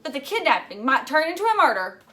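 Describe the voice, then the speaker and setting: A woman's voice: high voice, big pitch swings, fast, bright, forceful tone, voice pushed up, full character voice. A woman reading a storybook as an urgent, stubborn young schoolgirl, speaking insistently and with emphasis.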